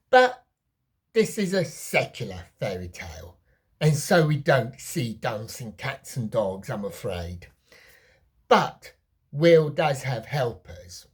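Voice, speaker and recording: A woman giving a personal film review in a conversational, opinionated way, breaking her sentences into deliberate, emphatic phrases. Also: very deep voice, breathy edge. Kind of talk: personal movie review to camera.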